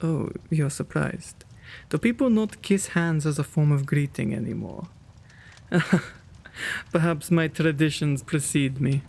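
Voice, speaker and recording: A man playing a kind, courtly, old-world gentleman vampire in an intimate ASMR roleplay, with genteel, old-fashioned phrasing and bashful stammers. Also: on the high side, slow, vocal fry, heavily acted. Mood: tender, courteous. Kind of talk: ASMR roleplay, intimate one-sided dialogue.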